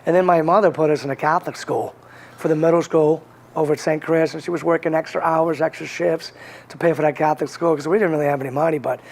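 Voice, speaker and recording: A man's voice: on the high side, fairly even intonation, fast talker. A man from Connecticut with a slight Boston accent, telling his life story as an interview guest in an earnest, rambling way with many restarts. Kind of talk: casual conversational interview.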